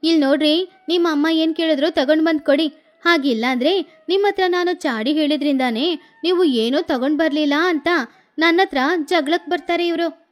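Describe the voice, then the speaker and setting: A woman voicing a young wife and daughter-in-law who talks back slowly and tartly, over faint music. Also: high voice, close to an everyday voice. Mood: retorting.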